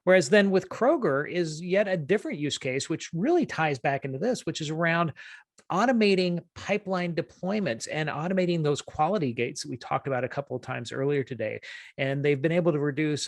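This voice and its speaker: A man with a Southern US drawl talks quickly and casually through a business webinar. His voice sits at an ordinary pitch and comes across close and dry, with no room sound around it. He keeps it chatty and a little self-deprecating. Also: creaky, dry recording. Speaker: solutions architect presenting a webinar.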